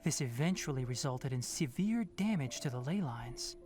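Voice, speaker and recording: An actor playing a calm, thoughtful young male scholar in a video game, speaking in a measured, articulate way. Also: medium pitch, music in the background, close to an everyday voice. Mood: reflective, somber.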